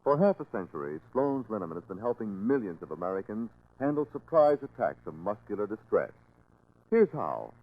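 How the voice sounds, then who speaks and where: Male 1940s commercial announcer, animated sales read, thin narrow-band radio sound. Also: lowish voice, brisk.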